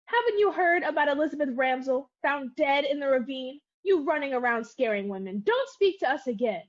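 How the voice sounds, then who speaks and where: A woman's voice: sits high, animated, fairly quick, dull audio. An actress playing a bold, scornful, headstrong young woman in a 1940s-style radio play, with a firm, commanding manner. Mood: scornful anger.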